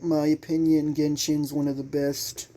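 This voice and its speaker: A young man doing casual gameplay commentary as a channel host, halting and sometimes muttering. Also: a little high, little pitch movement, dark tone.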